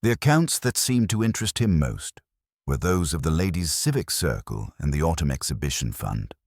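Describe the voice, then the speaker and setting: A man's voice: a bass voice, very animated, moderate pace, a little mellow, no room sound, clean studio sound, full character voice. A man voicing a formidable, self-important older woman who gossips with precise authority in a mystery story. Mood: satisfied, gossipy.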